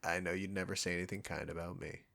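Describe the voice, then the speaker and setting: A man's voice: low-pitched, level tone, quick pace, vocal fry, bright, forceful tone, full character voice. A man playing a cocky, condescending chef who teases a longtime rival, in an intimate roleplay audio drama spoken to the listener. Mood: irritated banter. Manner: smug, bantering, teasing.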